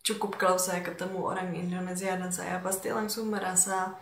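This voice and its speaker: A woman's voice: on the low side, little pitch movement, a little fast, gravelly. A Czech woman with a Czech accent talking casually to viewers as a vlogger, often hesitating as she searches for words in a language that is not her native one. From Czech Republic. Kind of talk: casual personal talk to viewers. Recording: echoey, roomy sound.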